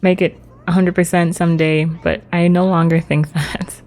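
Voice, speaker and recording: A woman's voice: on the low side, level tone, moderate pace, a little mellow. A woman livestreamer chatting casually with viewers as she plays a video game. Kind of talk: casual livestream chat with viewers while playing.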